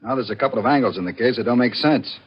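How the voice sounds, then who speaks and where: An actor playing a gruff, suspicious, blunt police detective in a 1940s radio thriller. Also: male voice, mid-range voice, racing, close to an everyday voice. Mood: matter-of-fact. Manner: blunt, needling, matter-of-fact. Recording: muffled old radio recording.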